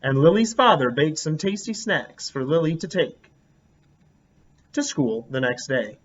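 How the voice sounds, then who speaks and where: A man's voice: on the high side, unhurried, slight background noise. Man reading a picture book aloud. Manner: expressive, asks listeners questions. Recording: dull lo-fi recording.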